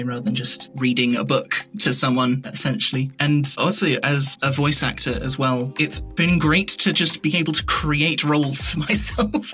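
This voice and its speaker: A man's voice: mid-range voice, pushed and bright. A man chatting casually as a podcast guest, telling stories and acting out his own thoughts in little asides. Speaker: interview guest; voice actor and audio drama creator.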